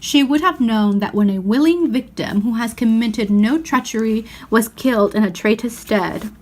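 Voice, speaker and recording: A woman voicing a noble, gentle great lion in a storybook, with slow, grand and measured words. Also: a little high, lightly acted. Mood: solemn, explaining.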